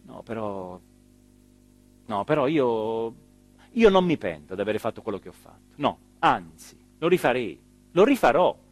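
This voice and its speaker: An actor playing a rueful, self-important small-town mayor in a rambling, hesitant theatrical monologue. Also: male voice, mid-range voice, sing-song, soft background music. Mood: reflective, defiant. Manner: rambling, hesitant, emphatic, with repeated phrases and pauses. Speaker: stage actor performing a radio theatre monologue.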